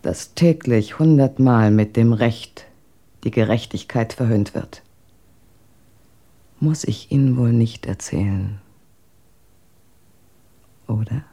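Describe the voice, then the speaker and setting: An actress in a German radio drama playing a proud, cold wife in a wheelchair, with a cutting, sardonic, articulate delivery. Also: female voice, very deep voice, takes it slowly, long pauses, dark tone, breathy edge, exaggerated voice. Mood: bitter, sarcastic.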